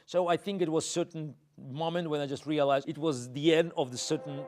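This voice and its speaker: A middle-aged man from Azerbaijan, answering interview questions in a halting way, full of fillers and restarts. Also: a little high, steady tone, heavy background noise. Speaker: interview guest.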